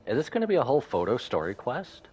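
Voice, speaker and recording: A man's voice: medium pitch, wildly swooping pitch, fast, a little mellow, slightly rough. A man giving casual live commentary as he streams a game. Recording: dull audio over game music. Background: game music.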